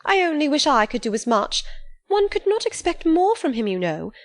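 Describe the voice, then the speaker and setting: A woman reading an audiobook, voicing a fond, fussy middle-aged mother who rambles on with flattery. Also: high-pitched, moderate pace, no echo, pitched above the performer's own voice. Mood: doting.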